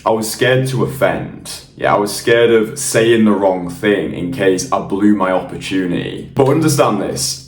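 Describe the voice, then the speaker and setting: A young man talks straight to the viewer in a blunt, confident coaching tone, dropping swear words for emphasis. His voice sits on the low side. It sounds roomy and a little distant from the mic. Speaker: dating coach. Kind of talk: direct-to-camera coaching monologue.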